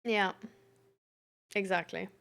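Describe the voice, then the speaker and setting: A woman chatting casually like a livestream host reading and answering messages, halting and giggly, with lots of "like" as filler. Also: mid-range voice, steady tone, gravelly, a bit bright.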